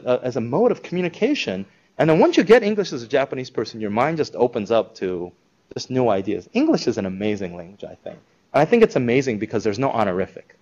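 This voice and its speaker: A man giving an informal talk to a live audience, conversational and animated, acting out quoted reactions. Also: medium pitch, highs cut off. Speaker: speaker presenting a school project.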